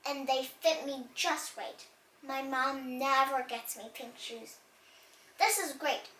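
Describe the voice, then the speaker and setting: A woman voicing an excitable little kindergarten boy in a storybook, loud and exclaiming. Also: high-pitched, reverberant, far from the mic, a little hiss or hum. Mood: delighted. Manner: loud, exclaiming, drawn-out words.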